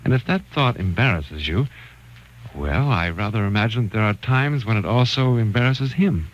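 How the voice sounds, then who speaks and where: A man hosting and narrating a 1940s radio thriller in a solemn, measured, scripted style. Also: fairly low pitch, animated. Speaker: host and narrator. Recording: dull, noisy 1940s radio recording.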